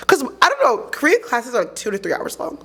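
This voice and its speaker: A young woman tells a story on a podcast. Her voice is high and very lively. She talks quickly and sounds exasperated, and "like" keeps coming up in her speech. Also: a crowd in the background. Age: young adult. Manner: animated, exasperated, heavy use of "like". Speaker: podcast guest. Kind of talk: casual storytelling chat.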